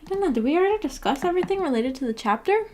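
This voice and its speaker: A woman hosting a book club livestream, chatting casually and replying to viewers in a giggly, rambling, unhurried way. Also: high-pitched, expressive, a little mellow, slight background noise. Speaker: livestream host of a visual novel book club.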